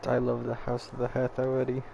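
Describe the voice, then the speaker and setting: Very low, creaky, deadpan woman streamer. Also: fairly quick, slight background noise, low-quality recording. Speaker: game streamer. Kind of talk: casual reaction commentary over a game. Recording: loud game music behind the voice.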